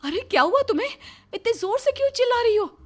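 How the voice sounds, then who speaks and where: Woman as naive elder daughter-in-law, high-pitched, expressive, earnest, caring, storybook family drama. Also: fast talker. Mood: alarmed.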